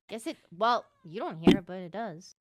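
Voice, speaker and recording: A woman playing a caring, easygoing young member of a fantasy court in a casual improvised Minecraft roleplay. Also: medium pitch. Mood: earnest.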